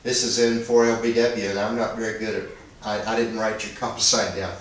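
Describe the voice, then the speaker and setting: A man's voice: on the low side, little pitch movement, fairly quick. A man making casual two-way radio calls as an amateur operator, speaking in a hesitant, apologetic way. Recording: echoey, distant, noisy internet radio link.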